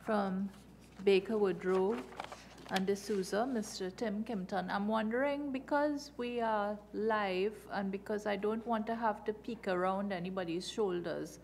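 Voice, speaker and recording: A woman chairing a parliamentary committee hearing, formal, brisk and courteous. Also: mid-range voice, darkish tone, slight background noise.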